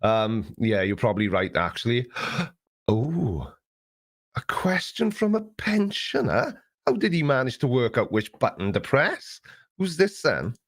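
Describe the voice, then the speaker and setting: A man's voice: medium pitch, huge pitch swings, a little slow, creaky. Rambling, sarcastic man. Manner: rambling, sarcastic, self-mocking. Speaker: live stream host. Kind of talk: casual live Q&A chat.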